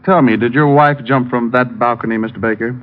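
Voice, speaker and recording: A man's voice: medium pitch, fairly even intonation, fairly quick, slight background noise, close to an everyday voice. An actor playing a cool, wisecracking male private detective in an old-time radio drama, with wry, clipped delivery. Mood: wry, probing. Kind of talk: old-time radio detective acting with first-person narration. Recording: dull old radio recording, faint music.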